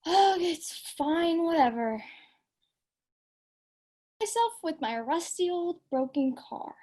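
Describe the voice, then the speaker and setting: A young woman playing a friend who pleads slowly and then sulks in an amateur audio drama. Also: extremely high pitch, lively intonation, mellow, full character voice. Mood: sulky. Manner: slow, pleading, sulky.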